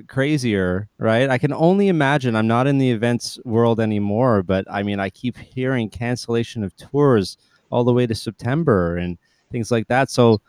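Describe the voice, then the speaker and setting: A man hosts a casual podcast interview, talking in a relaxed, rambling way and often restarting his sentences. His voice is smooth and clear, and the recording sounds close and dry. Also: medium pitch, dry recording. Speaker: podcast host.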